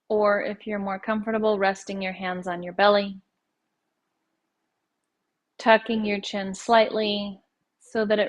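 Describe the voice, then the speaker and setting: Female meditation guide, calm and measured, very level mid-range pitch, unhurried phrasing with pauses.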